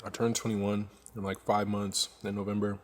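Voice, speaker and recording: A young man of about twenty narrates his workday for his viewers. His voice sits low and stays fairly even in pitch, and his delivery is relaxed and candid. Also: normal speed. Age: 20s. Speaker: clothing brand owner. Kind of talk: casual vlog narration.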